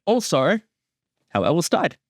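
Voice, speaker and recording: Animated man giving casual commentary. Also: fairly high pitch, unhurried. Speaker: creator giving episode commentary.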